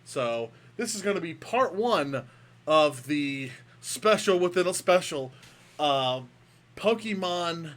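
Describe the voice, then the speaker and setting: A man's voice: a little high, expressive, slow, fairly bright tone. A man co-hosting a casual gaming podcast over a remote call, rambling and restarting his sentences. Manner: rambling, restarts often, playful grandiose greeting. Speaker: podcast co-host. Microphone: microphone over a remote call.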